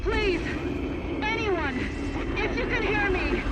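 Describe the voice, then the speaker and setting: A woman's voice: very high voice, lively intonation, takes it slowly, full character voice. A woman playing a terrified survivor in a video game, pleading for rescue in desperate panic. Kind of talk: scripted video game cutscene dialogue. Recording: loud music, crowd chaos behind.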